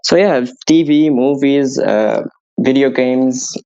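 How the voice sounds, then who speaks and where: A man's voice: mid-range voice, slow pace, mellow, highs cut off. Hesitant young man. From Syria. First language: Arabic. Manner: hesitant, restarts and searches for words. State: tired from staying up all night. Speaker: guest music producer. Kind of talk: casual interview answers.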